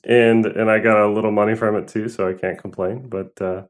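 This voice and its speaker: A man's voice: on the low side, level tone, a little fast. Rambling young man. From Southern California. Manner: rambling, hesitant, with many fillers and laughs. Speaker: guest musician. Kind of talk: casual interview.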